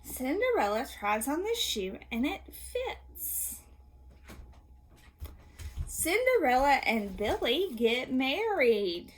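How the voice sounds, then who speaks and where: A woman's voice: sits high, sing-song, takes it slowly. A woman teacher reading aloud to young children, asking questions, answering them herself and offering encouragement. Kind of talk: read-aloud and question-and-answer lesson for kids.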